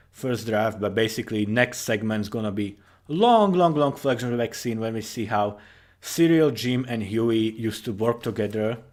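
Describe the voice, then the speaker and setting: A tired man giving informal, rambling commentary as a writer on his own story, halting and correcting himself, with casual swearing. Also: medium pitch.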